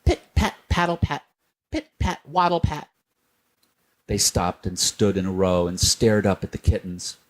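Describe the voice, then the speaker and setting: A man reading a children's storybook aloud, gentle and unhurried. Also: fairly low pitch, big pitch swings.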